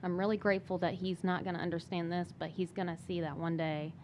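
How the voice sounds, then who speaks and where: Low-voiced young woman chatting casually. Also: little pitch movement, quick pace, darkish tone, slight background noise. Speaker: podcast interview guest. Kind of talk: casual personal chat.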